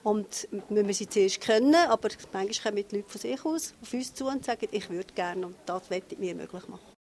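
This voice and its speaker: A woman's voice: medium pitch, brisk, a little mellow. Female interviewee, Swiss German accent, unscripted explanations, mid-range voice, some background noise. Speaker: managing director of a community meeting place. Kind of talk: spontaneous interview answers.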